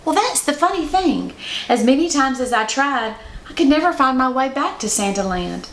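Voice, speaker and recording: A kindly storybook grandmother, acted by a woman, reminiscing gently. The voice sits fairly high and carries clear echo from the room. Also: expressive, moderate pace, a little hiss or hum. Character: kindly grandmother telling her bedtime story. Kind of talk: children's storybook read-aloud.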